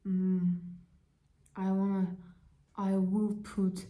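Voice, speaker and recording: A young woman from Kazakhstan with a Kazakh accent, introducing herself in halting, careful learner's English full of fillers. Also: medium pitch, little pitch movement, mellow. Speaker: vlogger. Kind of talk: casual self-introduction.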